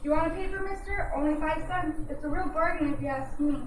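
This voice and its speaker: A woman's voice: high voice, brisk, lightly acted. A woman playing a cheeky, streetwise young paper girl in an old-time radio drama. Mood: cheeky, defiant. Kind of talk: 1940s radio drama acting. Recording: noisy, echoey room, distant microphone.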